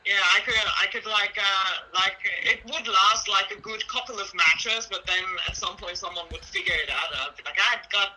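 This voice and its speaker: A young woman with a relatively deep voice, chatting casually and hesitantly with lots of fillers. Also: moderate pace, few pauses, pushed and bright, low-quality recording. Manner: hesitant, many fillers and restarts. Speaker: project creator. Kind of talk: casual chat.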